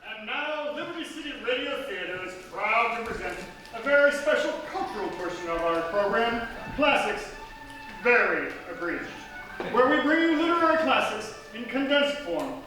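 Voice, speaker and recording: A man's voice: very high-pitched, very animated, normal speed, over music. A man hosting a live radio theatre show with showy, scripted comic announcing. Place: small theatre stage before an audience. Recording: echoey live theatre, distant, faint noise.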